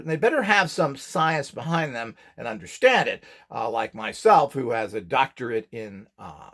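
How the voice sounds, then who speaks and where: A middle-aged man giving an informal, opinionated lecture as an instructor, rambling off the cuff in a blunt, scornful and emphatic way. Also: fairly high pitch. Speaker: instructor and host of a how-to video series.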